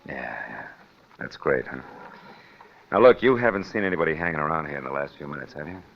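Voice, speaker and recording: An actor playing a weary, wry, tough private detective in 1940s radio noir style, with dry, clipped delivery. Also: male voice, a bass voice, animated, fast, slight background noise. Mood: guarded, dry. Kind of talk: 1940s radio noir acting and first-person narration. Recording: dull old radio recording.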